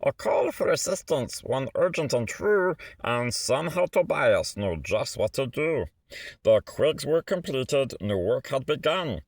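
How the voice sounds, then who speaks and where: Male storybook reader for rhyming picture book: theatrical, lively pitch swings, measured pace, rhythmic phrasing. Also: no echo. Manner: theatrical, rhythmic delivery with stretched words and asides. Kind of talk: expressive read-aloud of a rhyming children's picture book.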